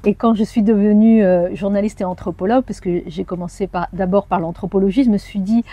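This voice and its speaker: A French woman in her forties, a journalist and anthropologist, telling her life story in an interview with thoughtful pauses and hesitations. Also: mid-range voice, steady tone, warm tone. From France. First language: Arabic. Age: 40s. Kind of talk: personal interview.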